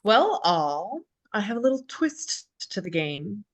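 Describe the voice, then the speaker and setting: Deliberate, commanding woman game host acted out. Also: medium pitch, big pitch swings. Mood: firm. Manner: deliberate, authoritative. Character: commanding female game show host, firm and teasing. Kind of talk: informal script table read.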